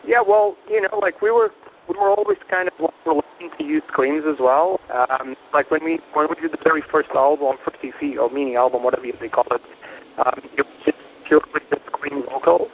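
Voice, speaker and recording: A man's voice: on the high side, fairly even intonation, fairly quick, highs cut off, slight background noise. A young man from Portugal, a band's guitarist and singer, talking loosely with lots of fillers in a phone interview. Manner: rambling, hesitant, many fillers.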